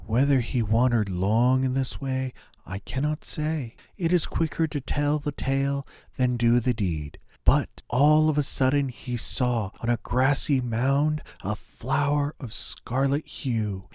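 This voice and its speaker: A man reading a fairy tale as storyteller, in a dramatic, lyrical and expressive style. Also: on the high side, no room sound, studio quality. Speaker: storyteller, narrator. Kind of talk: scripted fairy-tale narration.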